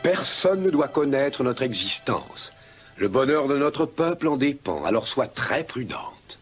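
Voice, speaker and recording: A solemn father king of the sea speaks for a children's animated film. His delivery is measured and stately, with expressive swings in pitch. Music plays clearly behind him. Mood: solemn, caring.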